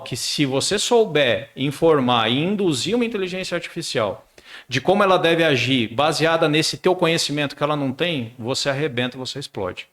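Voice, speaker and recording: A middle-aged man sits in as a guest on a casual business podcast, his voice sitting a little high for a man. He pushes through his points quickly and often stalls on repeated words before going on. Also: fairly bright tone. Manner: repeats words while searching, fast business talk.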